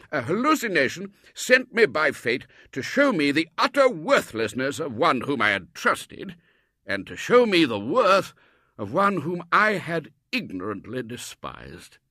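A man doing a booming, gushing old Colonel. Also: huge pitch swings, a little slow, pushed and bright, no room sound, studio quality, full character voice. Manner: booming, gushing, stammering at times. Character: blustering elderly male Colonel, loud and effusive. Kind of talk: storybook reading.

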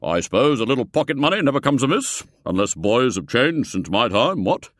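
A booming, jovial old gentleman, as a male narrator puts him on, loud and lively in pitch. He talks heartily and genially. The sound is dry. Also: fairly quick, no room sound.

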